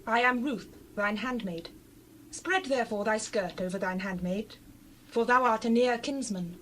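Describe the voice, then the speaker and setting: An actress playing a humble, obedient young widow in a dramatized Bible reading, meek and earnest. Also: female voice, a little high, a little slow, fairly bright tone, a little hiss or hum, low-quality recording.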